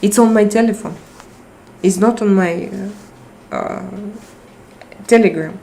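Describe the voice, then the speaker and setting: A middle-aged woman with a Russian accent chatting casually on a live stream, halting and restarting often as she searches for words. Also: mid-range voice, vocal fry, dark tone, a little hiss or hum. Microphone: tablet microphone.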